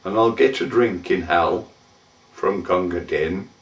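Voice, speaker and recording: Guy doing a gruff british empire soldier, dramatic slow poem recital, sentimental, echoey room. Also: sits low, lo-fi sound. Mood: sombre, grateful. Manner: theatrical, deliberate, with shouted calls and drawn-out phrases. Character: rough British colonial soldier recalling war, gruff and sentimental. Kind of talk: dramatic poetry recitation, monologue. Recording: echoey room, distant microphone.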